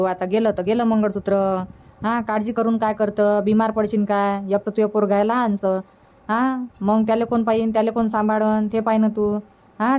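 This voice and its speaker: Slightly high-voiced village mother, acted by a woman. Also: muffled recording, natural voice. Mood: reassuring. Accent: Varhadi Marathi from Vidarbha. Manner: gossipy, advising, repeats herself. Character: practical, reassuring village mother of a married daughter. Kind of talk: colloquial cartoon dialogue.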